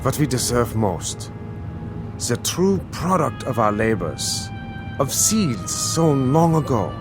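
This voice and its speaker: An actor playing a polite young taxi driver in a radio drama, courteous and measured, philosophical with a quietly pointed, knowing manner. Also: male voice, medium pitch, sing-song, music in the background. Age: young adult.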